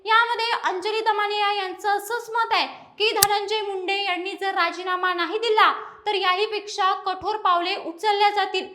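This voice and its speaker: A woman's voice: very high-pitched, fairly even intonation, normal speed, hardly any pauses, pushed and bright. A woman presenting a scripted news explainer.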